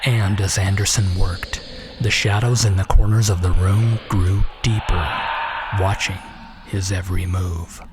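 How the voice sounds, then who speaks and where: A man narrating a suspense drama in a measured, ominous, scripted style. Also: deep voice, fairly even intonation, heavy background noise.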